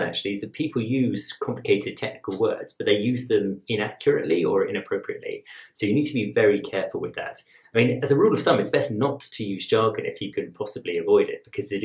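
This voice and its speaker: Low-voiced man explaining, roomy. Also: darkish tone. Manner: measured, conversational, rhetorical asides. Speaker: admissions tutor. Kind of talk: explaining to camera, advice lesson. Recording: roomy echo.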